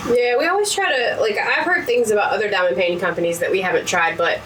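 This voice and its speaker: A woman co-hosting a casual hobby chat, low-key and matter-of-fact with dry understatement. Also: fast talker, recorded from a distance, background noise. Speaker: co-host of a diamond painting channel.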